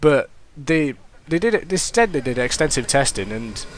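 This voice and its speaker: A man's voice: mid-range voice, normal speed, a little hiss or hum. A young man, congested and sniffly, commentating casually over his own gameplay, rambling and correcting himself as he goes. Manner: rambling, self-correcting, excitable reactions. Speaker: gaming commentator.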